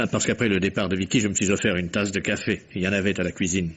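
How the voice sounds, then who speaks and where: Low, raspy gentleman captain. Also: steady tone, quick pace. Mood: slightly evasive. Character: genial, unruffled gentleman captain, slightly evasive. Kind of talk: vintage French radio mystery acting.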